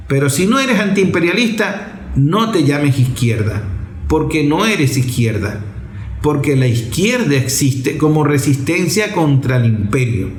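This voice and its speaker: A man delivers an impassioned political monologue as a commentator, blunt and emphatic, leaning on repetition and rhetorical questions. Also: on the high side. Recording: roomy, echoing sound.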